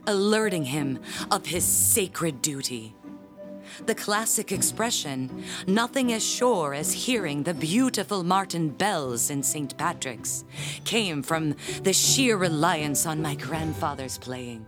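An actress playing a proud, pompous handbell ringer, telling family lore in grandiose audio drama style. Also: female voice, low voice, expressive, slow, over music. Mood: solemn pride. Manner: grandiose, theatrical storytelling.

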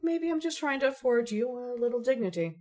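A woman's voice: fairly high pitch, lively intonation, brisk, a little mellow. A woman playing a haughty, wordy young lady gamer with a dry, mock-formal manner in an audio drama. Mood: haughty teasing.

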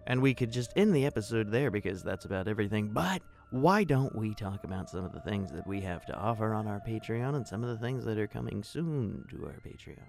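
Low, gravelly male podcast host. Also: lively intonation, a little fast, hardly any pauses, a little mellow. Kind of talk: scripted podcast announcement.

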